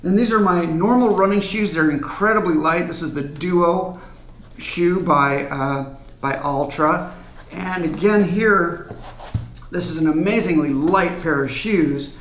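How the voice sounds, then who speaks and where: A man's voice: a little high, a little slow, a bit bright, slight background noise. A man in his fifties giving a casual, hands-on explanation to camera as a video presenter. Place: medium-sized home office, indoors at a desk. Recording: echoey indoor room.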